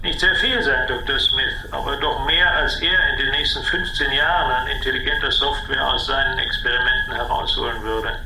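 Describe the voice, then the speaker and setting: A man playing an impatient, menacing official in a radio drama, with a sharp, commanding manner. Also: medium pitch, fairly even intonation, normal speed, gravelly, few pauses, reverberant, slight background noise, rough recording. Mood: cool menace. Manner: sharp, commanding, clipped authority. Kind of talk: German radio drama acting.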